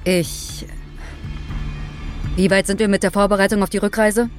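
An actress plays a decisive woman leading a Mars crew in an audio drama. Her voice is mid-pitched, over soft music. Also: little pitch movement, normal speed, close to an everyday voice. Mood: pressing.

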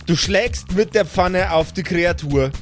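A man's voice: high-pitched, unhurried. A man improvising narration as the game master of a tabletop role-playing game, in a playful way. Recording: music bed behind the voice. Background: background music.

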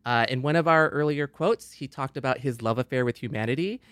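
A man's voice: medium pitch, fairly quick, fairly bright tone, dry recording. Man co-hosting a podcast, close dry sound. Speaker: podcast co-host. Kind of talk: casual podcast chat.

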